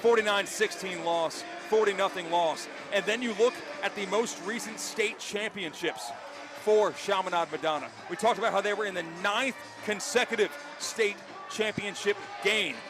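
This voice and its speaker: A man doing excited, emphatic play-by-play football commentary with dramatic phrasing. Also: high voice, normal speed, over music, a crowd in the background. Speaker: play-by-play sports announcer.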